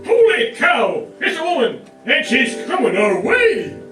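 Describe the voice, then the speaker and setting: A man doing a squeaky excitable sidekick. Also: a little slow, recorded from a distance, full character voice. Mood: excited. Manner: exclaiming, eager. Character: excitable young male wagon-train sidekick. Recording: roomy stage from afar, music and laughing crowd.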